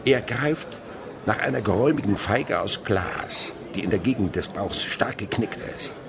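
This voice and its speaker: An actor playing an old French naturalist in a radio play, telling his memories vividly and wistfully with a gravelly voice. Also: on the low side, expressive, normal speed, a crowd in the background. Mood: absorbed storytelling. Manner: vivid dramatic storytelling. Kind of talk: German radio play acting.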